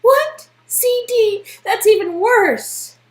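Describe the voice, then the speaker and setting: A woman's voice: extremely high pitch, expressive, drawn-out words, reverberant, exaggerated voice. A woman playing a distraught young woman, insistent and emphatic, in an amateur reading of game dialogue. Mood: indignant. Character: distraught, frantic young woman obsessed with her vanished boyfriend.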